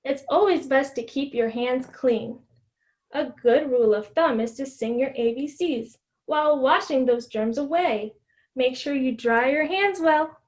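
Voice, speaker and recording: A woman voicing a caring, patient mother who gently instructs her child in a storybook. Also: high-pitched, a little slow. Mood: patient instructing. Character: caring, patient mommy teaching her daughter hygiene, storybook.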